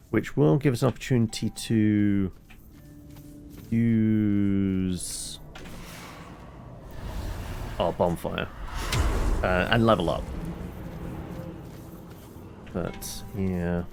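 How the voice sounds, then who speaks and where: A man streams a game with wry, rambling commentary. His voice is fairly low with a creaky edge, and it moves around a lot in pitch as he thinks aloud. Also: animated, very slow, pauses often, darkish tone, faint music behind.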